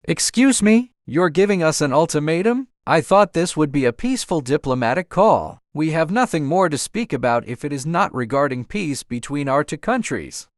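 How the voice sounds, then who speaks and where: A man's voice: a little high, animated, moderate pace, few pauses, dry recording, clean studio sound, natural voice. A man voicing an indignant, defiant male leader of a breakaway nation. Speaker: separatist president. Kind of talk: amateur audio drama.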